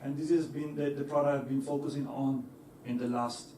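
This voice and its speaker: A man's voice: medium pitch, flat delivery, fairly quick, dark tone, slight background noise. Man speaking in a roomy hall. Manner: hesitant, many fillers and restarts, laughs easily. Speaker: engineer giving a talk. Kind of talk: presentation to an audience. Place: lecture hall. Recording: echoey hall, voice from far off.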